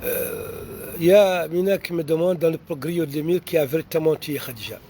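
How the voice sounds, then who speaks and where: Mauritanian man, accented French, writer answering questions, halting with restarts; slightly higher voice, faint background noise. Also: dark tone. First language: not French. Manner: halting, many fillers and repeated restarts. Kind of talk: unscripted answers to online questions.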